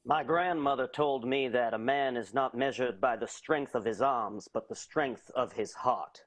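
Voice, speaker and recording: A man acts out a tender-hearted vaudeville strongman in a radio comedy. His mid-pitched voice is solemn, deliberate and noble. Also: soft background music, lightly acted. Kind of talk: improvised radio comedy acting.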